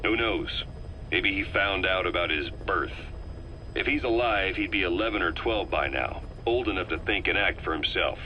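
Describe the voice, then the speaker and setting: An actor playing a shrewd, world-weary intelligence operative in a video game cutscene, speaking in a measured, knowing way. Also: male voice, sits low, expressive, bright, forceful tone, slight background noise, poor audio. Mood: matter-of-fact.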